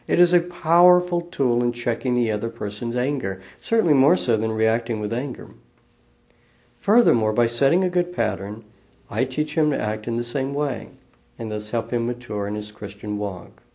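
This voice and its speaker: Quiet, gravelly-voiced church minister. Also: male voice, mid-range voice. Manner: calm, measured and earnest. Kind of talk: scripted devotional talk. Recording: slightly dull lo-fi recording.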